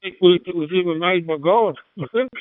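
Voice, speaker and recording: A man's voice: high-pitched, brisk, no room sound. A man chatting casually and informally in a matey way as an amateur radio operator, over a digital two-way radio link. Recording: tinny narrowband digital radio transmission.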